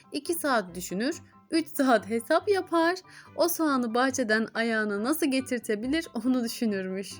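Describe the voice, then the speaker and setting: A woman reading fairy tales to children in an expressive storyteller's style. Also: high-pitched. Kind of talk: expressive scripted fairy-tale narration for children.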